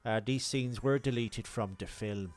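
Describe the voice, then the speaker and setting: A man's voice: medium pitch, unhurried. A man co-hosting a podcast in casual film chat, rambling and jokey as he goes through his notes. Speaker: podcast co-host.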